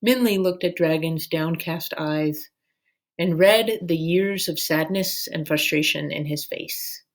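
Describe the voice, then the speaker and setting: Slow, low-pitched woman reading a story aloud. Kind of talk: narrated children's novel read aloud.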